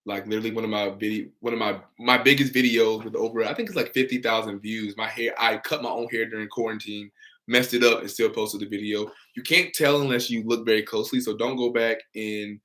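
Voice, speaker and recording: A young man hosts a relaxed livestream from home. He reads out viewer comments and answers each one in an upbeat, encouraging way. His voice sits slightly low. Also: a little fast. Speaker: insurance broker and livestream host.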